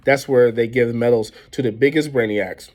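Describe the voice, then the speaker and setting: A man's voice: mid-range voice, moderate pace, darkish tone, lightly acted. A man voicing a casual, wry fifth-grade boy in a storybook, matter-of-fact and slangy.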